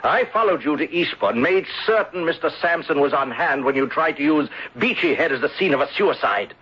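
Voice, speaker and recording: A man's voice: on the high side, animated, pushed and bright, husky, full character voice. An actor playing a bitter, vengeful bereaved man who theatrically denounces a murderer in an old-time radio drama. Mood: scornful, triumphant. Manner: theatrical, deliberate accusatory speeches. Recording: dull lo-fi vintage radio recording.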